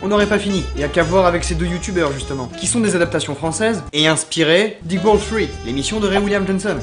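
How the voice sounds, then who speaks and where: A man's voice: sits high, quick pace. A man delivering a scripted comedic rant as a YouTube commentator, vulgar, sarcastic and mocking. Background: background music.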